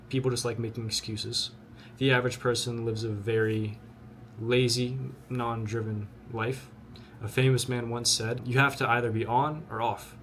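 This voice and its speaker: A man's voice: mid-range voice, fairly bright tone, a little hiss or hum. Earnest twenty-year-old man, blunt. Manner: earnest, blunt, repeats himself often. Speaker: vlogger. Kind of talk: motivational talk to camera.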